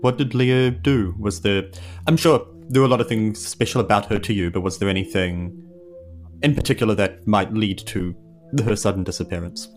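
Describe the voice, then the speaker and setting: A man's voice: on the low side, fairly even intonation, moderate pace, faint music behind. A man with a New Zealand accent playing a tabletop game, improvising sardonic banter and questions in character.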